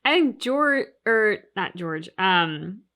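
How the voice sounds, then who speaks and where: A woman's voice: mid-range voice, expressive, slow pace, sharp tone. A woman hosting a reaction show, giving casual analysis in an emphatic, opinionated way and reasoning aloud through rhetorical questions.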